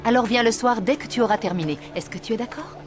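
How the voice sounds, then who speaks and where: An actress plays a refined female ballet mistress for a cartoon. Her voice sits fairly high and is precise and formal, demanding yet kind. Music plays clearly behind her. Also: fast, natural voice. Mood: gentle, encouraging. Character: elegant, exacting yet kindly female ballet teacher. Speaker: voice actor. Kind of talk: French animated series dubbing.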